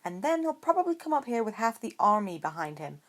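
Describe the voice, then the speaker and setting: A woman's voice: mid-range voice, sing-song, fairly quick, voice pushed up, natural voice. A woman voicing a stubborn, sarcastic schoolboy wizard with dry retorts in an audiobook-style story. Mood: defensive, casual.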